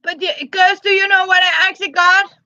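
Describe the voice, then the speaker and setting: A woman's voice: very high voice, flat delivery, fairly quick, sharp tone, no echo, lo-fi sound. A Danish woman from Jutland, hosting a live stream in English as a second language, chatting casually with viewers, reading their comments aloud and often restarting her words. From Jutland, Denmark.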